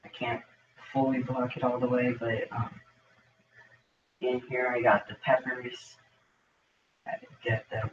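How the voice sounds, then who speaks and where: A man's voice: mid-range voice, little pitch movement, slow pace, pauses often, muffled recording, noisy background. A young man hosting a casual livestream from his home grow room, rambling with lots of ums and likes. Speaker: livestream host.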